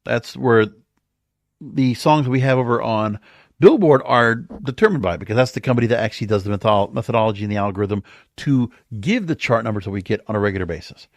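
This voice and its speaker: A man's voice: mid-range voice, a little fast, dry recording. A man hosting a podcast, giving opinionated music industry commentary in a conversational, emphatic way, sometimes reading aloud. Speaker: podcast host.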